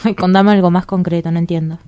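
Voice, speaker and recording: A woman playing a frank, insistent female lover in a radio drama, asking probing, drawn-out questions in an Argentine Rioplatense accent. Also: on the low side, steady tone, mellow, lightly acted. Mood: insistent, teasing.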